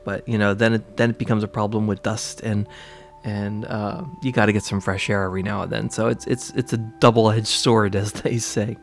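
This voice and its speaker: A man giving a spiritual reading, a little stuffy and congested, with a slightly rough throat, rambling through fillers and restarts. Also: on the low side. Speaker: tarot reader. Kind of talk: casual spiritual talk to camera.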